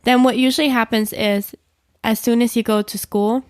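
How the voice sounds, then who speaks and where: Young woman, native Swiss German speaker, podcast co-host; chatty, slightly high, dry close sound. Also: fairly even intonation, moderate pace, no echo. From German-speaking Switzerland. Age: young adult. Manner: chatty, laughs while talking, many fillers. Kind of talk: casual chat.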